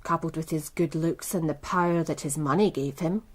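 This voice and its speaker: A woman's voice: low voice, steady tone, normal speed. A woman playing a proud, worldly businesswoman with an English accent in a British radio crime drama, composed, articulate and coolly self-assured. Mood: cool, knowing. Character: poised, proud, worldly businesswoman running a bookmaking empire.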